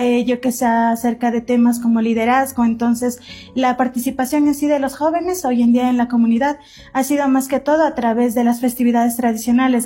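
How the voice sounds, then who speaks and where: Young Ecuadorian woman interviewee, mellow monotone, halting delivery. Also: on the high side, slightly rough. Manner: hesitant, many fillers. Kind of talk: casual interview answers.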